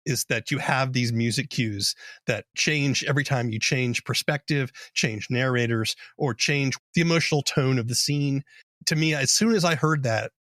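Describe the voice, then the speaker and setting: Male podcast host, very dry close sound, explains things in a measured chatty way. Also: medium pitch, fairly bright tone, dry recording. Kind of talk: conversational podcast interview.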